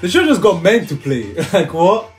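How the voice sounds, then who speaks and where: A man in his twenties chatting casually as he reacts in a group, exclaiming and repeating himself excitedly. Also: sits high, moderate pace. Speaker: reaction show co-host. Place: small room indoors, on a sofa. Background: music.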